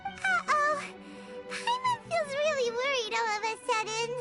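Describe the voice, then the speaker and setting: Squeaky little sprite girl, super high and bouncy, talks bout herself in third person, game music behind. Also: very animated, measured pace, exaggerated voice. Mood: worried. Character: tiny excitable female floating companion sprite. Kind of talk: video game voice acting.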